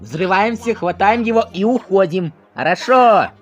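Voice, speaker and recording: A hapless cartoon ghost henchman from a video game, voiced by a man in a fan dub. The excitable lackey draws words out very slowly and theatrically. Music runs along behind. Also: high voice, dull audio. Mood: eager, conspiring.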